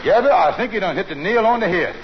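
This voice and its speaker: An actor playing a scheming, smooth-talking middle-aged husband who hems and haws, in 1940s radio comedy. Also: male voice, high voice, lively intonation, fast. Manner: wheedling, hesitant with many uhs. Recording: dull old radio broadcast with hiss.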